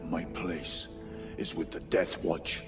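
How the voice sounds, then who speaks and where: A man's voice: extremely low pitch, steady tone, takes it slowly. A man playing a stoic, dutiful veteran lieutenant in a game cutscene, speaking in a terse, resolute, formal way. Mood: restrained, determined. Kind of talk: dramatic video game cutscene acting. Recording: loud music under the voice.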